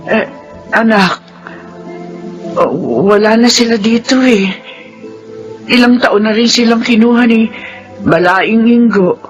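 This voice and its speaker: A woman's voice: fairly high pitch, slow pace, long pauses, soft background music. An actress playing an older mother who cries out with joy when her son is freed, in a classic radio drama. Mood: tearful joy. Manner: crying out, emotional. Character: older mother, tearful and overjoyed at son's release. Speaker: mother of Kokoy.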